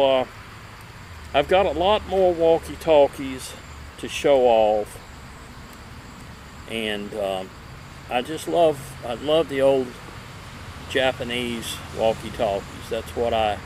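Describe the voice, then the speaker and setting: A man in his fifties hosts a casual, unhurried show-and-tell at an outdoor table. His voice sits at a medium pitch and he speaks slowly and haltingly, lingering over words. Faint outdoor ambience runs behind him as he talks to a camera a few feet away. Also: low-quality recording. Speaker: vintage radio collector and video host. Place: outdoor table in a wooded yard. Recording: steady outdoor background noise.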